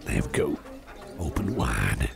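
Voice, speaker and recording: Guy doing a huge jovial boss for asmr date roleplay, super deep gravelly voice, folksy n soothing, hearty eater. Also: very animated, heavily acted. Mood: indulgent, affectionate. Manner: slow, soothing, chuckling, folksy, repeats phrases reassuringly. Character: big, muscular, jovial, hearty-eating boss on a dinner date. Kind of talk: ASMR roleplay, personal attention. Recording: restaurant crowd murmur behind the voice.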